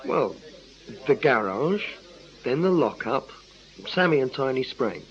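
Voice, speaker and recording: An actor playing an earnest, deferential detective constable who is easily puzzled, in a radio crime drama. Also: male voice, mid-range voice, lively intonation, slow pace. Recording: muffled radio drama recording.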